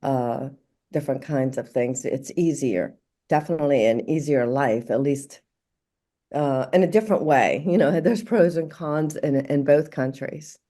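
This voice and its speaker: A woman from Morocco, a podcast guest, speaking warmly and reflectively, halting often with fillers and restarts. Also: a bass voice. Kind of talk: casual interview conversation.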